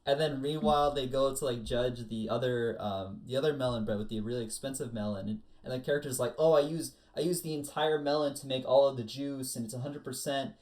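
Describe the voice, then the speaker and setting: A man's voice: medium pitch, brisk, hardly any pauses, a little mellow. American man chatting casually, acting out quotes. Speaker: podcast panelist. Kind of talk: casual chat about anime.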